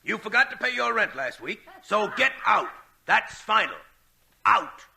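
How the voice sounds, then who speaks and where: A man playing a gruff, angry landlord in a 1940s radio comedy. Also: high voice, sing-song, a little slow, noisy background, exaggerated voice. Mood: furious.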